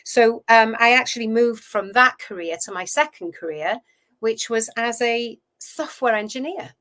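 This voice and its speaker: A Welsh woman in her fifties, a coach telling her career story in a chatty, animated way as an interview guest. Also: a little high, moderate pace. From Wales. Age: 50s. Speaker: guest, food relationship coach. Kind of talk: casual interview chat.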